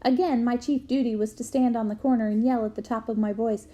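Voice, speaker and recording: Mellow woman reading a book aloud. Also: fairly high pitch, fairly even intonation, brisk. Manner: clear storytelling, bursts into loud shouts for quoted yells.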